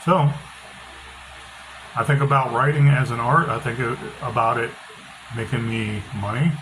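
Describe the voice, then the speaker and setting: A middle-aged author talking casually with a loud, bass-heavy voice, blunt and opinionated, often repeating himself. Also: male voice, steady tone, measured pace. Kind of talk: casual monologue. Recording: noisy background hiss.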